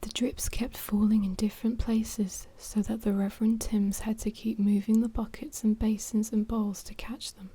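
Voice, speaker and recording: A woman reading a children's storybook aloud in a soft, breathy whisper, with hushed asides to the listener. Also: mid-range voice, flat delivery, moderate pace, few pauses. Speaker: storybook reader.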